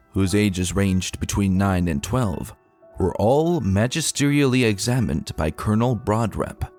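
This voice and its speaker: Guy w/ a low voice reading an old ghost story, formal and measured, really animated pitch, bit of music behind. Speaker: narrator. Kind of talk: scripted narration of an old ghost account.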